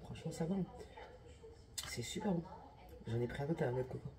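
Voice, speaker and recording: A woman's voice: low voice, a little fast, crowd noise. Slangy chatty young woman vlogger. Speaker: lifestyle vlogger. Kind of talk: casual vlog chat.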